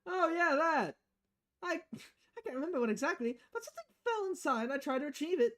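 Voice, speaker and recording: A woman voicing an excitable, cheerfully defensive, clumsy boss in a visual novel read-aloud. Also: sits high, brisk, pitched above the performer's own voice.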